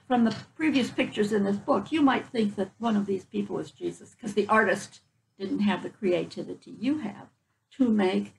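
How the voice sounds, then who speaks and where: An older woman reads a children's picture book aloud on a front porch. Her voice sits a little high and has a noticeable creak. She pauses to explain things simply, with faint outdoor noise in the recording. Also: a little mellow, poor audio. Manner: explanatory asides in simple words. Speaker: children's story reader. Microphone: camera a few feet away.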